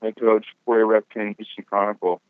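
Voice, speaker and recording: A man's voice: fairly low pitch, level tone, moderate pace, clean tone, no echo. A man, a newspaper reporter, asking a question on a press conference call. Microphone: telephone. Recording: phone-like line.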